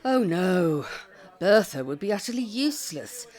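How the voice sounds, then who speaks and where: A British actress playing a fussy, chirpy elderly care-home resident in an audio drama, brisk and theatrical. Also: female voice, on the low side, sing-song, darkish tone. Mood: fussy, exasperated.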